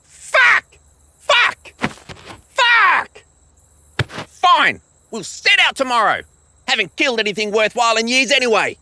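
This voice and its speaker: Vain hot-tempered tv hunter, theatrical audio drama, swoops around a lot, super forceful. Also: male voice, very high-pitched, big pitch swings, slow, pauses often, a little hiss or hum, full character voice. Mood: furious. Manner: pompous, theatrical, indignant. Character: pompous, hot-tempered male TV monster-hunting star.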